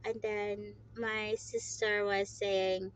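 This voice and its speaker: A woman's voice: mid-range voice, level tone, slow pace, smooth. A woman in her forties with a Filipino accent and Tagalog as her first language, chatting casually to viewers in a rambling, giggly, self-questioning way. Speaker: vlogger. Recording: muffled, loud vehicle and road noise.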